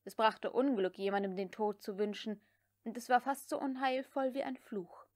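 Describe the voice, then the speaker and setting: A woman narrates a literary audiobook. Her voice sits fairly high for a woman. The recording is dry, with very little room sound. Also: fairly even intonation, moderate pace, dry recording.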